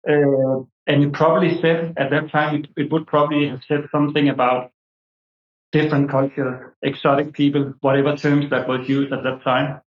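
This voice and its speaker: A man's voice: fairly high pitch, flat delivery, moderate pace. Danish man in an echoey, dull room. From Denmark. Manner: hesitant, many fillers and restarts. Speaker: guest, anthropology professor. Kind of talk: interview answers, storytelling.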